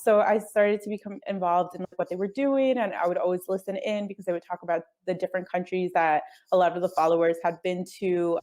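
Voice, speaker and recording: A woman's voice: fairly low pitch, steady tone, fast, hardly any pauses. A woman who grew up speaking Spanish first, talking in an earnest, easygoing way as a guest on a call. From United States. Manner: earnest, easygoing, with soft laughs and hedges like "I guess". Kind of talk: casual interview chat.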